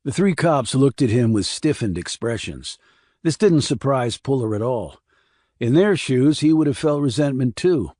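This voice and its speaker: A man narrating a crime thriller audiobook in a measured, serious, scripted reading. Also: medium pitch, animated, a little mellow, no echo, clean studio sound.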